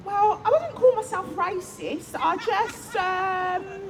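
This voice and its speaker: A woman's voice: very high voice, takes it slowly, voice pushed up, exaggerated voice. A woman voicing a well-meaning but clumsy HR manager who keeps excusing herself, chirpy and giggly, recorded outdoors. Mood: self-excusing. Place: outdoor festival stage. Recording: outdoor recording with crowd noise.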